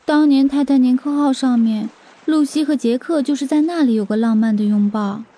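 A woman's voice: high voice, normal speed, mellow. A woman voicing a sardonic, opinionated young female traveler who drops wry asides in audio-novel dialogue. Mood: musing.

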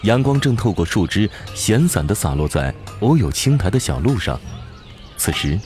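A man narrating fantasy scenes in an audio drama as a measured, literary storyteller. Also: on the low side, sing-song, darkish tone. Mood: evocative. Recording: music under voice.

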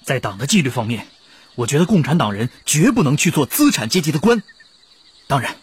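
Historical radio drama delegate: firm debater, mid-pitched, rough breathy tone, animated delivery. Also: male voice, a little fast. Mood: firm, argumentative. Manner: assertive debating.

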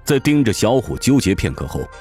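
A man's voice: fairly low pitch, big pitch swings, brisk, a little mellow, soft background music. A man narrating a fantasy audio drama from a script in a theatrical, suspenseful manner.

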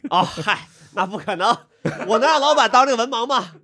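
A man's voice: very high voice, lively intonation, fairly quick, bright, forceful tone. A man from Northeast China co-hosting a podcast, joking at his own expense and chuckling through his words. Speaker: podcast co-host. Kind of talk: casual chat.

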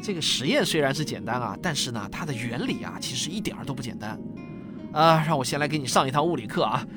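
A man acts out a doting middle-aged father in a sci-fi radio play. His intonation swings widely as he patiently explains and coaxes, with music clearly playing behind him. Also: mid-range voice, animated, fast, lightly acted. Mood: instructive, lively. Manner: explains step by step, coaxing, frequent sentence-end particles. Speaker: father explaining physics to a child. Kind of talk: Chinese science fiction radio drama acting.

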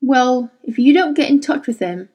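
A woman's voice: high voice, lively intonation, normal speed, warm tone, highs cut off. A woman reading the part of a pushy, defensive male scientist who argues insistently. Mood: pressing. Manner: pressing, argumentative.

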